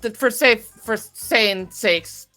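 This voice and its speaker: A woman chatting casually as an interview guest, excitable, effusive and expressive in the way she talks. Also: fairly high pitch, slow pace, faint music behind. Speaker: voice actor guest being interviewed.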